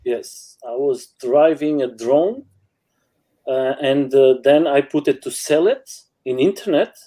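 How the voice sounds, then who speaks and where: Halting man, warm voice. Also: medium pitch. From Portugal. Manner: halting, many fillers, laughs while telling stories. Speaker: guest rider. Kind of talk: casual chat in non-native English. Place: home.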